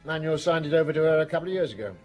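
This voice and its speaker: A man's voice: on the high side, expressive, racing, dull audio. An actor playing an affable but guarded male restaurant owner in a radio drama, chatty and evasive. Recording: music behind the voice. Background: music.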